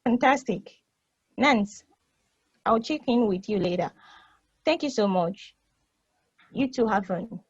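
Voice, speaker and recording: Woman acting a prim, husky space probe, muffled mic. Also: medium pitch, animated, slow pace, pauses often, no echo. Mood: breezy, friendly. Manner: articulate, emphatic, exasperated. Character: responsible, fretful female space probe, prim elder-sister type. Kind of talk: stageplay table read.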